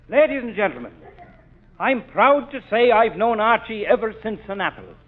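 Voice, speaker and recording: A pompous speechifying cop. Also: male voice, high-pitched, big pitch swings, a little slow, exaggerated voice. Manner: long-winded, speechmaking. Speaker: police officer. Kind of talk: 1940s radio comedy acting. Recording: tinny old radio broadcast.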